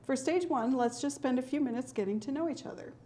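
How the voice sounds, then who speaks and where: Mellow-voiced woman examiner giving instructions. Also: high-pitched. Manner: clear, measured, guiding. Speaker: speaking test examiner. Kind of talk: scripted test instructions.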